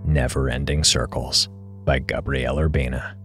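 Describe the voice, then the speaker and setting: A man announcing the titles of an audio drama in a formal, measured and solemn manner. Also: extremely low pitch, flat delivery. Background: plucked guitar music.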